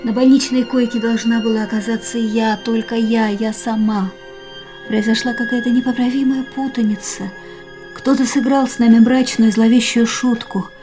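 An actress in a radio play, voicing a grieving young woman in a quiet, stunned inner monologue that often drops to a whisper. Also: fairly high pitch, fairly even intonation, moderate pace, soft background music, close to an everyday voice.

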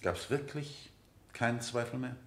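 A man's voice: mid-range voice, little pitch movement, unhurried, slight background noise, natural voice. A man playing a sceptical defence attorney in a radio play, needling and insinuating as he cross-examines. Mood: doubting. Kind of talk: radio play courtroom dialogue. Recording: roomy 1970 radio drama studio.

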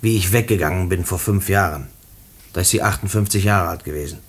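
An actor playing a condemned prisoner in a German radio play, earnest and stubborn, speaking haltingly and pleading, often repeating his words. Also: low voice, breathy edge. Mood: explaining, earnest.

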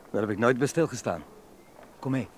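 A generous, plain-spoken young friend in a radio play. Delivery is brisk and varied in pitch, with some noise on the recording. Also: male voice. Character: blunt, generous young German male friend. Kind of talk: radio drama acting.

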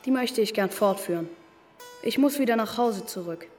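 An actor playing a serious scholarship schoolboy in a German radio drama, measured and dry, polite but stubborn. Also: male voice, high voice, soft background music, close to an everyday voice. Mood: cool, dry.

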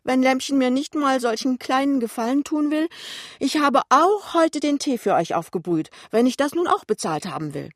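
A tipsy middle-aged mother acted by a woman reader in a literary audio drama, pitched high above the narration. She whines and complains with tearful indignation, pushing her demands loudly and self-pityingly. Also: lively intonation, moderate pace, no echo, studio quality. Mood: angry demanding. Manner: whiny, complaining, insistent.

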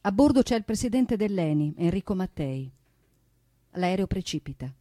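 Mellow woman narrator, close and dry. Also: mid-range voice, very animated, normal speed, no room sound. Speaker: stage narrator and performer. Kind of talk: theatrical scripted narration with music.

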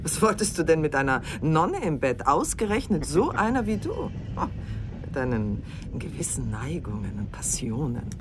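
An actress playing a seductive, teasing middle-aged newlywed in a radio drama, worldly and unrepentant, with an ironic, coquettish way of talking. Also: female voice, sits low, sing-song, normal speed, noisy background. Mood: defiant, ironic.

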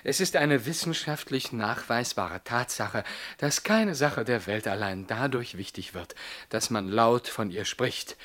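Sharp, pompous police commissioner, played by a man. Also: mid-range voice, natural voice. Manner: pedantic, lecturing. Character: pompous, irritable, nervy male police commissioner. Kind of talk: comic radio drama acting.

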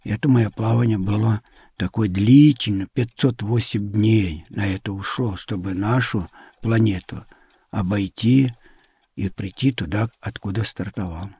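Middle aged guy w a really raspy mellow voice, explaining stuff simply to kids, gravelly. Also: lowish voice, unhurried, no echo. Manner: simple, loosely phrased explanations. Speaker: sailor and traveller guest. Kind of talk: conversational storytelling to children.

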